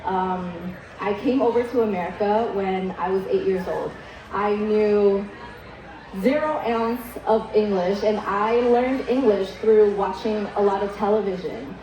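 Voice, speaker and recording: A woman's voice: mid-range voice, measured pace, lo-fi sound. Chatty Vietnamese-born woman in an echoey hall. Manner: casual, candid storytelling with many likes and ums. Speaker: keynote speaker. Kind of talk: keynote speech. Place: event hall.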